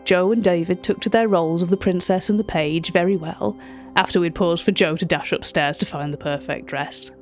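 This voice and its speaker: An actress playing a chatty, wry British gallery writer, telling a story directly to a listener in audio drama narration. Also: female voice, on the low side, fairly quick, a little mellow, faint music behind, close to an everyday voice.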